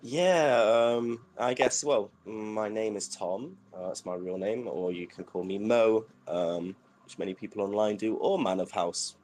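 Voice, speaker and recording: A man's voice: on the low side, measured pace, few pauses, slight background noise. A British man in his thirties, a guest DJ, chatting casually and telling his story with lots of fillers. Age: 30s. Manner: relaxed storytelling with frequent fillers. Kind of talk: casual interview chat.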